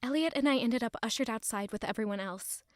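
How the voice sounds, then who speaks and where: A woman's voice: fairly high pitch, fast talker, noisy background, natural voice. An actress playing an earnest, anxious young woman, narrating and acting in a fantasy audio drama. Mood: troubled reflection.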